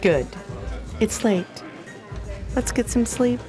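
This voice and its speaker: A woman's voice: a little high, animated, slow, music in the background. A woman playing a composed, practical minister's wife. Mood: calm. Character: practical, composed middle-aged wife of a reverend. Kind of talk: radio play acting.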